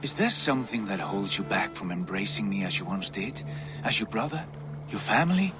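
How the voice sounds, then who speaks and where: An actor playing a proud, ambitious Viking jarl in a game cutscene, speaking with imperious, persuasive intensity. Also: male voice, fairly low pitch, animated, a little fast, over music, natural voice. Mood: wounded, pleading. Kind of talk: video game cutscene dialogue.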